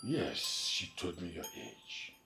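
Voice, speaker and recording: A man playing a courtly, persistent older village chief with a Nigerian accent in a film drama, speaking in a deliberate, declamatory way. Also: sits low, sing-song, vocal fry, bright, forceful tone, soft background music, full character voice. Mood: earnest, persuasive. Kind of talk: naturalistic film drama dialogue.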